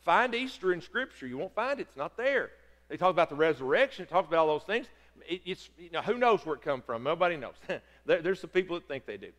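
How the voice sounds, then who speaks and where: A middle-aged man, preaching loudly and excitedly from a church pulpit, often stumbling over his words. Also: fairly high pitch, quick pace. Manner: loud, excitable preaching with repeated stammers. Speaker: pastor. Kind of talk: sermon. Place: large church sanctuary. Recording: long reverb of a church hall.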